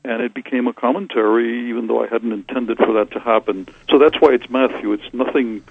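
Older man gravelly, husky voice on a phone line. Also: medium pitch, steady tone, brisk, background noise. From Cuba. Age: 60s. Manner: soft-spoken, reflective, frequent hesitations and restarts. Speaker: Trappist monk and author. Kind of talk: thoughtful interview answers.

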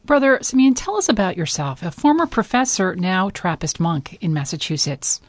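Low-voiced woman interviewer, dry sound. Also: sing-song, moderate pace, no room sound. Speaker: radio interview host. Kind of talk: scripted interview questions.

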